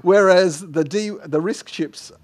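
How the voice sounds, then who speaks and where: Higher-voiced middle-aged man. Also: takes it slowly. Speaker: conference speaker. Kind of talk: informal technical Q&A after a talk. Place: indoor lecture room, at a lectern. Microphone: headset microphone.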